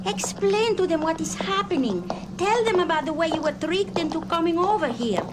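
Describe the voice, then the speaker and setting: A woman's voice: very high voice, animated, normal speed, very noisy. An actress playing a pleading, passionate young immigrant woman in a 1970s audio drama. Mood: urging. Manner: urgent, emotional.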